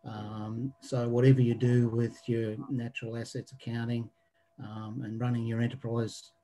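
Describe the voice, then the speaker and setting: A man in his fifties with an Australian accent, reporting back from a small group discussion on a webinar, with many pauses and ums. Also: mid-range voice, level tone. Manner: halting, many ums, trailing off quietly. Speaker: webinar participant. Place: living room at home. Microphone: video call webcam.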